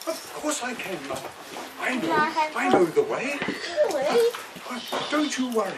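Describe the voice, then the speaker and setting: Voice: medium pitch, very animated, measured pace. A performer voicing a naive, earnest five-year-old boy in a children's puppet show. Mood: eager. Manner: earnest, hesitant, simple. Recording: outdoor far camera, noisy background.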